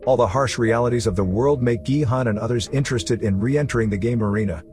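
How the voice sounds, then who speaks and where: A man's voice: mid-range voice, fast talker. A man reading a scripted story recap as a narrator. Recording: music running behind the voice. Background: background music.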